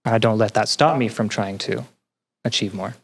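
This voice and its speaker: A young man answering as a podcast guest in an earnest, conversational way, haltingly repeating phrases as he thinks. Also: lowish voice, steady tone, creaky, slightly rough. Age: young adult. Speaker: podcast guest, student and teaching assistant.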